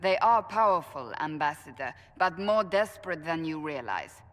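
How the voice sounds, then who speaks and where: An actress playing a shrewd, guarded adviser in a video game, speaking gravely and deliberately. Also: female voice, sits low, very animated, fairly bright tone. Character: guarded, stern female adviser, shrewd and serious. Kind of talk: video game cutscene acting. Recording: reverberant hall sound.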